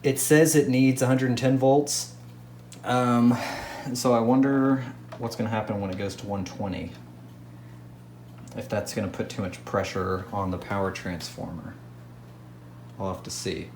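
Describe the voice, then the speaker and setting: A man with a fairly low voice talks casually while testing an old radio set. He thinks aloud in a relaxed, offhand way and is easily amused. There is a faint hiss behind him. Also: measured pace, echoey room.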